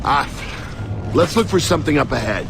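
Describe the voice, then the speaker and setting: An actor playing a steady, practical squad leader in a video game, with a gravelly voice and brisk manner. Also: male voice, a little high, background noise. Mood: businesslike.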